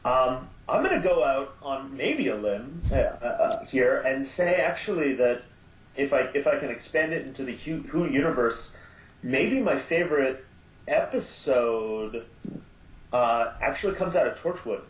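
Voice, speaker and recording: A man's voice: medium pitch, animated. A man talks as a podcast guest, stopping and restarting often as he gathers his thoughts. The sound is roomy and dull, with faint noise underneath. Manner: halting, many fillers and restarts. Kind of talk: casual podcast chat.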